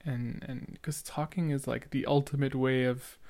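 A young man with a noticeable stutter talks through his thoughts in a casual, rambling way. He sounds earnest and leans on fillers as he comments on an old recording of himself. His voice sits at an ordinary pitch. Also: steady tone, normal speed, a little mellow. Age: young adult. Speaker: podcaster reacting to his own episode.

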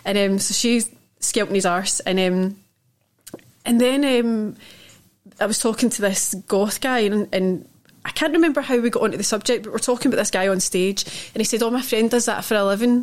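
A woman's voice: medium pitch, normal speed. A woman with a thick Scottish accent, a guest telling long rambling stories in a casual chat. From Scotland. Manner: chatty, rambling storyteller.

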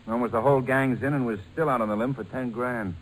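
An actor playing a wry, informal pilot sidekick in a 1940s radio drama. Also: male voice, medium pitch, fairly even intonation, quick pace, close to an everyday voice. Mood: glum. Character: wry, doubtful pilot sidekick, easily swayed. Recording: noisy old 1940s radio broadcast.

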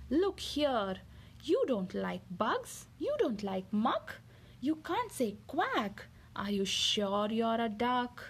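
A woman's voice: on the high side, big pitch swings, slow pace, husky, full character voice. Woman doing a bossy sing-song mother duck. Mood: stern. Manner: sing-song, coaxing, emphatic. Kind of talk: storybook read-aloud.

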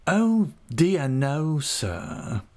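A man's voice: on the high side, very animated, very slow, warm tone, voice pushed up, full character voice. A man reading an audiobook, voicing a falsely humble, scheming young male clerk with an oily, cringing manner. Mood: smugly forgiving. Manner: oily, cringing humility with a whining edge. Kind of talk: audiobook character voice.

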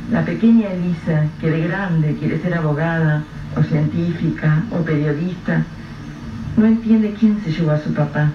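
A man giving measured, literary narration for a radio drama. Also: a little high. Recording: distant, roomy, dull, noisy radio-play recording.

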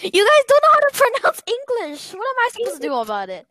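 A woman's voice: extremely high pitch, wildly swooping pitch, fast, pushed and bright, no room sound, clean studio sound. A woman guest on a podcast, joining remotely, teasing and nitpicking the others. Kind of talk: casual podcast chat. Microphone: joining remotely on a call.

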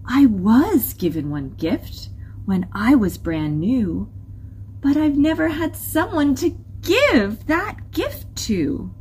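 A woman voicing a wistful, soul-searching male whale in a storybook, speaking softly and haltingly. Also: a little high, big pitch swings, a little hiss or hum, voice pushed up. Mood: tender. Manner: hesitant, soft, halting.

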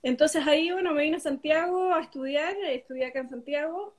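Female interview guest, Chilean accent, relaxed informal talk, fairly high pitch, speaking into a tablet. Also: a little fast. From southern Chile. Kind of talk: casual chat. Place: at home on a video call. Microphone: tablet on a video call.

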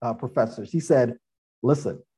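A man's voice: mid-range voice, moderate pace, warm tone, breathy edge. A man being interviewed on a podcast, telling earnest stories about his upbringing and laughing now and then. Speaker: guest, former athlete and attorney.

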